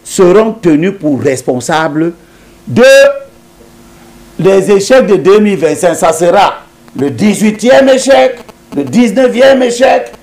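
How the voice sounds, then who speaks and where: A man's voice: high voice, lively intonation, unhurried, a little mellow. A man, a politician, talking as a guest in a TV interview in an emphatic, lecturing way, repeating points to drive them home.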